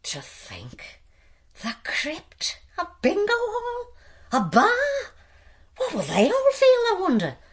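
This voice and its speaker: A woman's voice: very high-pitched, huge pitch swings, slow, heavily acted. An actress playing a gossipy, disapproving parish woman in a radio drama, musing and wry in a theatrical way. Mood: wry dismay.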